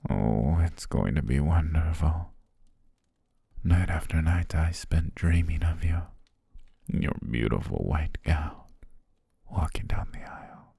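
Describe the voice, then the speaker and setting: A man playing a doting, obsessive butler and softly menacing fiancé in intimate ASMR roleplay, tender, measured and coaxing, close to the microphone. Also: rumbling low, animated, dry recording, full character voice. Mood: dreamy devoted. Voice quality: breathy, husky, gravelly, often near whisper. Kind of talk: intimate ASMR roleplay, slow whispery romance acting. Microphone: close, dry studio microphone.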